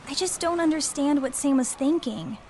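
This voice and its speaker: A woman's voice: sits high, moderate pace, dark tone, a little hiss or hum. An actress playing a free-spirited, openhearted but self-doubting woman in an audio drama, earnest and musing.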